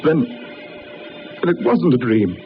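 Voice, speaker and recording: An actor playing a genteel, uneasy, well-to-do gentleman in an old radio drama, with a dramatic delivery. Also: on the high side, very animated, slow pace, music in the background. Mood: haunted, fearful. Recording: muffled, noisy old radio recording.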